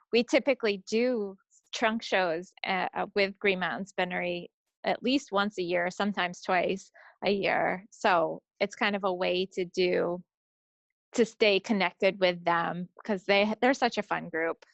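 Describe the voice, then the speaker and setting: Low, creaky-voiced woman in casual podcast chat. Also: steady tone, unhurried, dry recording. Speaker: podcast co-host.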